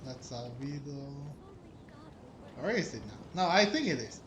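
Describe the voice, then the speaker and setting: Slow-talking man, roomy sound. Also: medium pitch, expressive, background noise. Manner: hesitant, searching for words. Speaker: gameplay video host. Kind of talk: casual let's play commentary.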